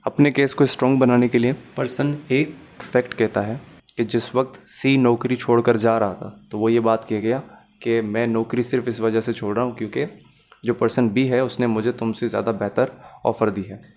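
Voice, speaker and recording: A man's voice: medium pitch, little pitch movement, moderate pace, darkish tone. A man with a Pakistani accent giving a methodical, step-by-step explanatory lecture as a law teacher, mixing in English terms.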